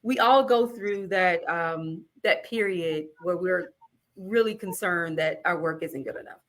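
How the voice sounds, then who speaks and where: A woman's voice: on the low side, unhurried. A woman from Alabama hosting an interview, enthusiastic and encouraging, often stumbling over the start of a phrase. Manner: enthusiastic, encouraging, repeats words when starting. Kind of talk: casual interview chat.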